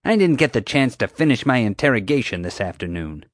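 A man's voice: medium pitch, big pitch swings, brisk, no echo, clean studio sound, voice pushed up, lightly acted. A man voicing a rude, pompous, dim-witted woman security officer in an audiobook, with an officious, accusatory way of talking. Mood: pompous, accusing. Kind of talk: audiobook character voice.

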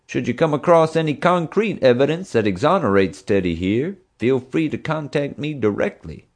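A man's voice: mid-range voice, animated, normal speed, pitched above the performer's own voice. A man voicing a policeman who tries to sound suave and polite. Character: smooth-talking man officer trying to sound suave.

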